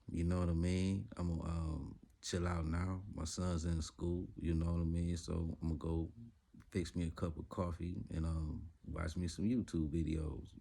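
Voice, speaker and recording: Late-40s man, gravelly and relaxed, chatty vlogger. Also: sits low. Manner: laid-back, rambling, laughs often, slangy. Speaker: vlogger, father. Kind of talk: casual vlog talk to camera.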